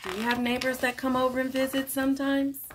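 A woman's voice: high voice, little pitch movement, a little fast, slight background noise. A woman in her forties reading a picture book aloud expressively to young listeners. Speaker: children's storytime reader. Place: small room at home, indoors.

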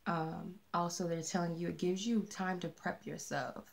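A woman with a soft, mellow voice giving a casual channeled tarot reading, chatty and rambling with many fillers. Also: lowish voice, fairly even intonation, normal speed, creaky. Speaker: tarot reader. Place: candlelit room with a tarot table. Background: R&B music playing.

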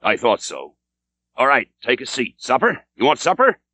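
A man reading aloud and voicing a sly, teasing old innkeeper with a rough seafaring dialect drawl. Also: mid-range voice, huge pitch swings, normal speed, bright, forceful tone, voice pushed up, exaggerated voice.

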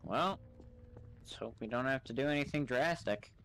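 A man's voice: mid-range voice, moderate pace, fairly bright tone. A man giving casual, sardonic gameplay commentary, muttering and swearing as he plays. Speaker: gamer playing a role-play game. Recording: clear background noise, game ambience.

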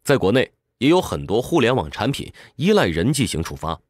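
A man's voice: fairly low pitch, big pitch swings, brisk, no room sound, clean studio sound. A man giving a scripted explanatory narration in a didactic way, speaking directly to the listener. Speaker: audiobook summary narrator.

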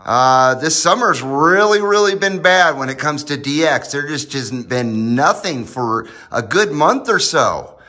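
Casual American male vlogger, folksy and informal, with very varied intonation and a bright edge. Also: on the high side, lively intonation, a little slow, few pauses. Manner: folksy, lightly swearing, informal. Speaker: host of a hobby radio channel. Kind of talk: casual project vlog narration. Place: home garage, indoors.

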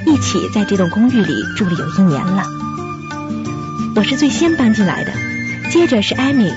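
Woman as pensive, expressive mystery narrator over music. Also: a little high, moderate pace. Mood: reflective, uneasy. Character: young female web editor, pensive, uneasy narrator of a mystery. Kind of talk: first-person radio drama narration. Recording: dull lo-fi audio.

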